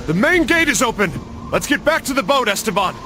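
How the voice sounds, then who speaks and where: A man's voice: very high voice, sing-song, fairly quick, background noise. A man playing a determined, level-headed crusader hero who gives commands in a video game. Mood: urgent. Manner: urgent, commanding. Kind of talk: video game cutscene acting. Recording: loud music behind the voice.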